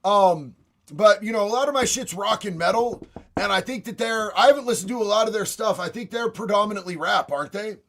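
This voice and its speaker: A raspy young man talks off the cuff on his livestream, blunt and profane, tossing out bro and homie. His voice sits high for a man. He answers chat messages one after another. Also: fairly quick, a bit bright. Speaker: livestream host reading chat.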